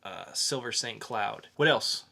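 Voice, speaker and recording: A man co-hosting a podcast, talking casually through entertainment news in a halting, conversational way with pauses. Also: fairly low pitch, creaky, pushed and bright. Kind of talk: casual geek news chat.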